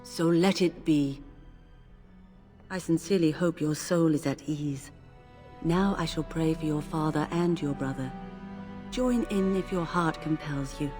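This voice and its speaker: Solemn low-voiced priestess, long reverb. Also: female voice, warm tone. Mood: reverent. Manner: reverent, formal, measured. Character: devout priestess, solemn, pious, gently reproving. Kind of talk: video game cutscene acting. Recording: long reverb, music underneath.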